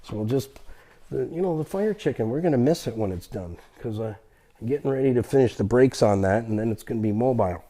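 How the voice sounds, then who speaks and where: A man talks viewers through repair work as host. His voice is mellow and relaxed at an ordinary male pitch. He is folksy and plainspoken, often repeating a phrase to drive it home. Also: quick pace. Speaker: vehicle restorer and host. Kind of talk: hands-on repair explainer, casual talk to viewers.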